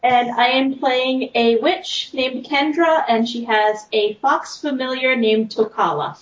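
A woman's voice: high voice, steady tone, slow pace, roomy sound, recorded from a distance, dull audio. A woman from Rhode Island playing a tabletop game, playful and giggly. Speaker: player. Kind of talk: casual chat at a game table.

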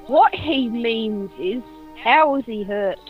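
A woman acts a dry grave-robbing henchwoman in a radio drama. She is measured and matter-of-fact, with a slightly raised pitch. The sound is a little dull, with faint music underneath. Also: animated. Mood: polite. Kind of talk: amateur radio play acting.

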